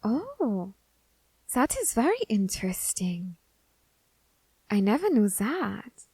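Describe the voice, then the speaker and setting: A woman's voice: medium pitch, very animated, mellow, husky, no room sound, exaggerated voice. A woman playing an eager, curious young Grim Reaper scholar in an ASMR roleplay, fascinated and politely menacing. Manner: fascinated, deliberate, politely threatening. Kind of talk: ASMR roleplay addressed to the listener.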